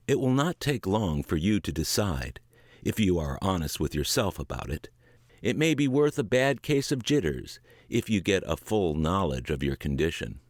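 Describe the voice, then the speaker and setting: A low-voiced middle-aged man reads a book aloud word for word, steady and earnest. His intonation swings widely and sinks at the close of each sentence. The recording is dry and close, with no room sound. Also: dry recording. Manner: measured, earnest reading with dips at sentence ends. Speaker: audiobook reader.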